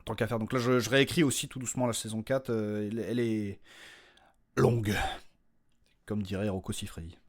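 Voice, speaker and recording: A man's voice: on the low side, fairly even intonation, a little fast, pauses often. A man hosting his own podcast, giving an unscripted, informal announcement in a rambling, slangy, self-deprecating way. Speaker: podcast creator and host.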